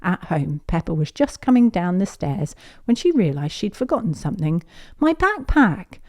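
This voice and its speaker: A woman reading a children's picture book aloud as a storyteller, in an expressive, measured way. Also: on the low side.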